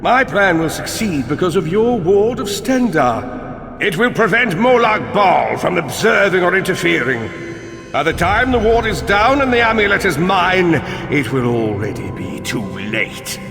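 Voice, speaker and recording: An actor playing a gloating, power-hungry necromancer in a fantasy game, pompous, condescending and theatrical. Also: male voice, high-pitched, very animated, unhurried, fairly bright tone, heavily acted. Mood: taunting. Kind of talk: fantasy video game acting. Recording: long cavernous reverb, faint music.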